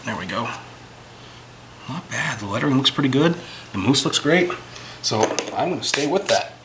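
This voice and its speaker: A man's voice: mid-range voice, animated, normal speed, background noise. A man with a sniffle, casually talking through his work at a workshop bench, self-deprecating and thinking aloud. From United States. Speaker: metal scrapping YouTuber. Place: indoor workbench in a workshop.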